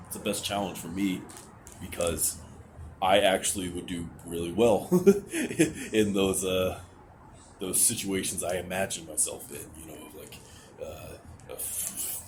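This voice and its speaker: A young man with a very low, deep voice talks straight to his camera, hesitant and rambling. He sounds anxious and sighs often, with casual swearing. A steady hiss sits under the recording. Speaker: vlogger. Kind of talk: candid venting to camera. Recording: noticeable background hiss.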